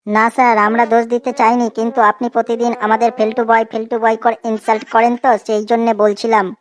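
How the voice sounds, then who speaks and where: A woman's voice: a little high, flat delivery, fairly quick, natural voice. A woman playing a cheeky, bumbling schoolboy in a comedy cartoon. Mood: protesting. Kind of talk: exaggerated comic cartoon dialogue.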